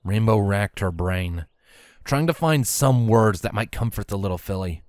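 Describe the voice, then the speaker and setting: A man's voice: on the low side, expressive, moderate pace, a little mellow, no room sound. A man reading scripted story narration as the narrator, close on the microphone. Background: background music.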